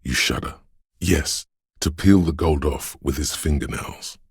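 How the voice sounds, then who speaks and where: An actor playing a brooding inner voice of a desolate wintry city, narrating a literary game in a gravelly, husky bass with a measured, solemn and ominous manner. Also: male voice, no echo, clean studio sound, heavily acted. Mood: somber, hushed. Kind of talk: slow literary second-person game narration.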